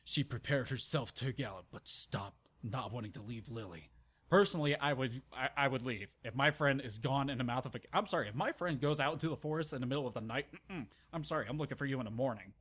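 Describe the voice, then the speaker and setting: A man's voice: medium pitch, quick pace, fairly bright tone, breathy edge. A man narrating an amateur dramatic story reading, informal and stumbling, with exasperated, sarcastic asides. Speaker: narrator and channel host.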